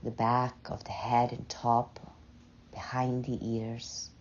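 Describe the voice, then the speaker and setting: A woman guides a meditation in a mellow, dark, husky voice. She speaks softly and very slowly, leaving long pauses between short, gentle phrases. The recording sounds slightly muffled. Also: a bass voice, monotone, slight background noise. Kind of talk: slow, soothing guided meditation.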